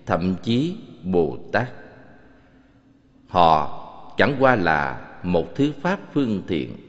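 A man voicing a serene, authoritative Buddha in an animated scripture film, teaching in a measured, solemn delivery. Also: low voice, heavily acted. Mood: solemn assurance. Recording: muffled, long cavernous reverb.

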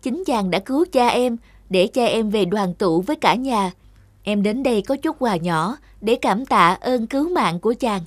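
A woman's voice: a little high, lively intonation, moderate pace, no room sound. A woman voicing a sweet young deer maiden in a cartoon folk tale, tender and earnest.

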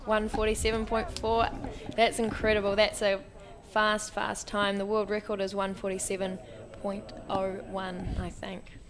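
A woman giving expert commentary on a canoe race in a relaxed, friendly manner. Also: medium pitch, a little slow. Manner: relaxed, friendly, with light laughs. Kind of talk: casual sports commentary. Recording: crowd noise behind. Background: crowd at a regatta.

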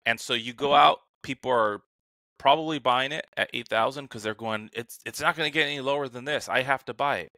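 Bright, forceful man chatting casually. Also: medium pitch, a little fast, dry recording. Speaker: podcast co-host. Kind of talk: casual podcast chat, anecdotal storytelling. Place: remote call.

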